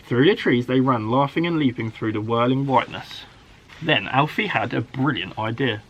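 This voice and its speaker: A man, a primary school teacher, reading a children's story aloud in an expressive, dramatic way. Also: mid-range voice, normal speed, muffled recording, a little hiss or hum. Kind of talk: animated children's story narration.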